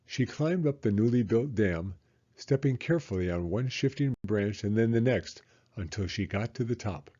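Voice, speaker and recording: A man narrating a children's story as an expressive read-aloud. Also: fairly low pitch, moderate pace. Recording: slightly dull, muffled audio.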